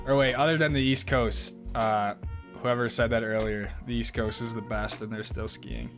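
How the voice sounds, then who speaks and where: A young man hosting a live stream, reading chat and answering in a relaxed, casual way, laughing often and swearing now and then. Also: mid-range voice, normal speed, over music. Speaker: livestream host reading chat.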